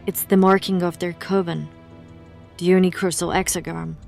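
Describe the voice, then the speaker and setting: A woman's voice: on the low side, little pitch movement, normal speed, creaky, a little mellow, soft background music. A woman playing a grave, knowing investigator of the occult who explains things in formal words in an audio drama. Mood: grave, ominous.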